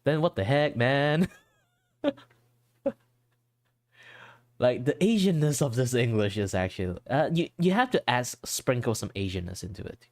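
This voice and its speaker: A man's voice: mid-range voice, expressive, measured pace, long pauses, a little mellow, dry recording. A young man from Malaysia chatting casually while drawing on stream, rambling with lots of fillers and restarts and switching at will into Malaysian English. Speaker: art streamer.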